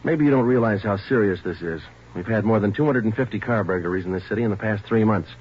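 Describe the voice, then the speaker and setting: An actor playing a terse, matter-of-fact detective sergeant in a 1940s radio crime drama, with a clipped, deadpan delivery. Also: male voice, lowish voice, fast, natural voice. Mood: stern. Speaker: narrator and lead actor. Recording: dull, noisy old radio recording.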